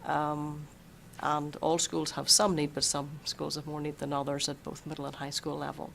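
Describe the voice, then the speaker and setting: Hoarse superintendent, gravelly voice, flat delivery, budget talk at board meeting. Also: mid-range voice, slight background noise. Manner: measured, explanatory, repeats words while thinking. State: losing voice, a bit hoarse. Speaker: school superintendent presenting budget. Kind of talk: budget presentation at a public meeting. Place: school board meeting room.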